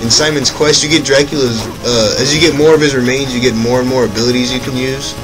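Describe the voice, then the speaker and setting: A man's voice: medium pitch, steady tone, fast, rough recording. A young man giving casual, rambling commentary on his own gameplay, often correcting himself mid-sentence. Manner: rambling, self-correcting, offhand asides. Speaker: let's play commentator. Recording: game music loud behind voice. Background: game music.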